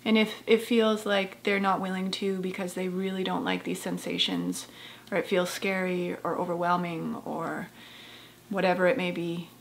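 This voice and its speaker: Creaky-voiced woman guiding a meditation. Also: medium pitch, a little hiss or hum. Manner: gentle, soft-spoken, unhurried with long pauses. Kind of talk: guided meditation.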